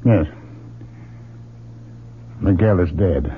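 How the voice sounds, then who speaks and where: An actor playing a wry middle-aged academic father in an old radio drama, with a measured, probing manner. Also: sits low, noisy background. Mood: shocked. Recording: muffled, tinny old radio recording.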